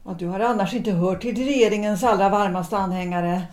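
A woman playing a composed, guarded politician's wife in a period audio drama, measured and dryly ironic in her delivery. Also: medium pitch, steady tone, a little mellow, close to an everyday voice. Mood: dryly calm. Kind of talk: period stage-play audio drama acting. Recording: echoey room.

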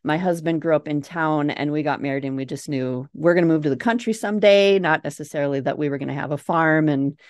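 A woman's voice: deep voice, fast talker, few pauses, a little mellow, dry recording. A chatty woman in her forties from Minnesota with a Minnesota accent, a guest talking casually in an interview. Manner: chatty, laughing easily, with filler uhs.

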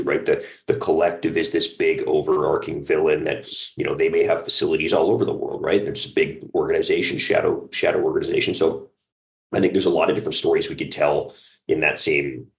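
A man talking casually as a guest in an interview, explaining his creative work in a conversational way. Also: a bass voice. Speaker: guest, audio drama creator.

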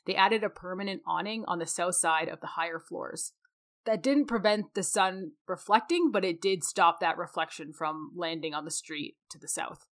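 A woman's voice: on the low side, moderate pace, a bit bright. A woman co-hosting a conversational podcast, speaking in an opinionated, emphatic way. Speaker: podcast co-host.

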